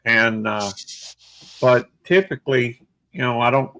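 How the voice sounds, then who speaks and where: A man in his sixties chatting casually on a livestream, plainspoken and halting, with many fillers. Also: mid-range voice, vocal fry, bright, forceful tone, dry recording, poor audio. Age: 60s. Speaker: livestream host. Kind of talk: casual chat.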